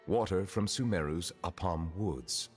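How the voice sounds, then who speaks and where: An actor playing a solemn, courteous, centuries-old Chief Justice in a video game, speaking in a formal, measured, stately manner. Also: male voice, deep voice. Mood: earnest. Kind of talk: video game character acting. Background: game music.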